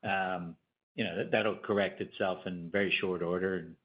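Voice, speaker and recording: A man answering questions as an executive on an earnings call, explaining in a measured, unscripted way with repeated words. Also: sits low, fairly even intonation. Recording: dull, band-limited conference call audio.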